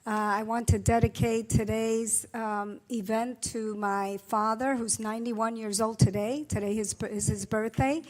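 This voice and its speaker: A woman in her sixties from Puerto Rico, whose first language is Spanish, accepts an award. Her voice sits a little high, and she speaks earnestly, pausing often with filler sounds as she finds her words. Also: steady tone, a little mellow, a little hiss or hum. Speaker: award honoree. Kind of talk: acceptance speech.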